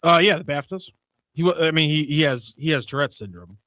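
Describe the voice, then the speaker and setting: A man's voice: fairly high pitch, animated, a little fast, sharp tone, no room sound. Teasing, stumbling radio co-host man. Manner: teasing, stumbles and restarts when arguing. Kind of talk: casual banter.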